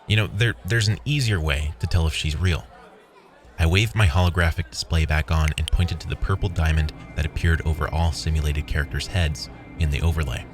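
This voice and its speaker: A man's voice: very deep voice, fairly even intonation, fairly quick, soft background music, a faint crowd. A man playing a weary, wry programmer who narrates his own story as a scripted first-person audio drama. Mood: wry, reflective.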